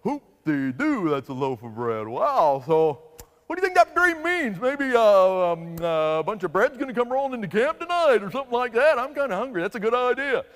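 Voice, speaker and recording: A man's voice: very high voice, big pitch swings, normal speed, big-room sound. A man voicing a dopey, giggly, stammering Midianite soldier who is itching for battle, in a comedic Bible sketch. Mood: goofy, curious.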